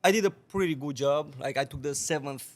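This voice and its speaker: Lively, profane man from Romania. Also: a little high, a little fast. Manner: animated, swears a lot, laughs often. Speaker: guest bartender. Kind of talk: casual podcast storytelling.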